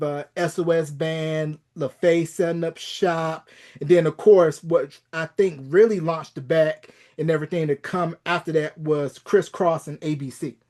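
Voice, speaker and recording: A man with an American accent and a high-pitched voice hosts a podcast interview. His phrasing is presentational, like an announcer introducing a show and its guest. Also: a little mellow.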